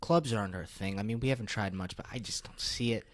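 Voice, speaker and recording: A man's voice: lowish voice, fast. A young man co-hosting a podcast in casual chat, often chiming in to finish his friend's sentences. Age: young adult. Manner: interjecting, finishing the other host's sentences. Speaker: podcast co-host.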